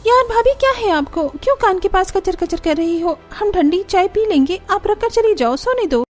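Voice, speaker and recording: A woman's voice: very high-pitched, expressive, normal speed, faint music behind. A woman voicing a lazy, sleepy young sister-in-law in a cartoon, whining irritably. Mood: sleepy irritation. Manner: whiny, irritable.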